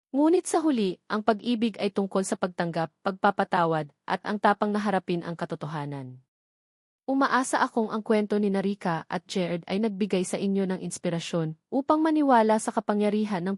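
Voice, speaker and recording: A woman narrator with a Filipino accent delivers a scripted dramatic story. Her voice sits at a medium pitch, and the recording is dry, with hardly any room sound. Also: a little mellow, no room sound.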